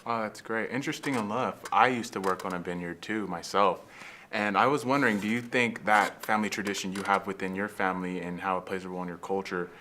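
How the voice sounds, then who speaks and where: A man's voice: sits low, steady tone, fast, sharp tone, a little hiss or hum. A young man hosting a student interview, asking questions and cutting in with short, upbeat replies. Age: young adult.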